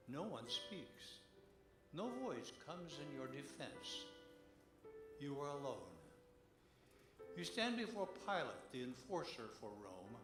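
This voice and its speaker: A man giving an earnest, solemn dramatic devotional reading in a deliberate way, full of rhetorical questions. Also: a little high. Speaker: reader at a church Good Friday service. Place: large church sanctuary. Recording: echoey church, music behind.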